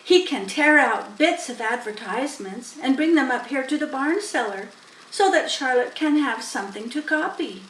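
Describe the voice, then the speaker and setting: A shrewd old storybook sheep, voiced by a woman, emphatic and persuasive. The voice is on the high side, in a roomy recording. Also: animated, normal speed, a bit bright, recorded from a distance, slight background noise. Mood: shrewd, confident. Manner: emphatic, lecturing. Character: old female sheep, shrewd, firm, persuasive barn elder.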